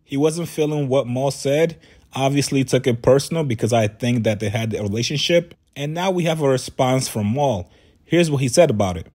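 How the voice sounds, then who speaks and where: A man's voice: medium pitch, normal speed, darkish tone. A man with a cold, sounding a little nasal, addressing viewers directly in a commentary vlog. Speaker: YouTube commentary host.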